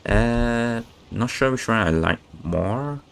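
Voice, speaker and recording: A man streaming a game, laid-back and reading the text aloud haltingly. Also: medium pitch, expressive, gravelly, slight background noise. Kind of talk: casual gameplay commentary. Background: game music.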